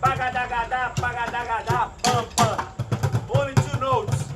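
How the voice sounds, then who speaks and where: High, flat-toned man chanting beats, far off, loud music behind. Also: moderate pace. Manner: chanting rhythm syllables.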